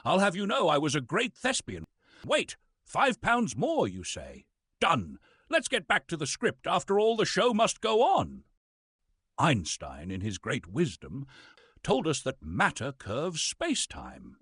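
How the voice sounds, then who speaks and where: A man's voice: a little high, huge pitch swings, a little slow, fairly bright tone, husky, no room sound, studio quality, full character voice. A man playing a pompous, grumpy British Shakespearean actor who is posing as a lecturer in a comic monologue. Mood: indignant, then grandiose. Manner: pompous, irascible, declamatory.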